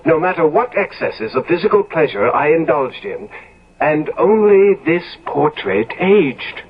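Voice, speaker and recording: On a dull vintage radio recording, a youthful gentleman corrupted to the core. He speaks slowly and deliberately, with sardonic bitterness and theatrical swings in pitch, a killer in an old radio melodrama. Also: big pitch swings. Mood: coldly sardonic. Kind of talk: 1970s radio drama acting.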